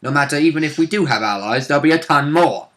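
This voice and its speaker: A man chatting casually as a tabletop game player, jokey and animated, with slangy asides. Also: on the high side, quick pace. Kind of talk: casual chat.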